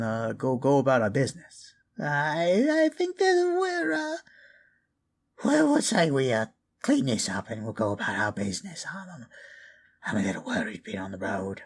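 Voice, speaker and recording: A paranoid old goblin shaman played by a man in a fantasy audio drama. His pitch jumps about restlessly as he stammers and hedges his way through sentences, with a sardonic edge. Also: very animated, moderate pace, gravelly. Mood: nervous, hesitant. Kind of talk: improvised fantasy audio roleplay.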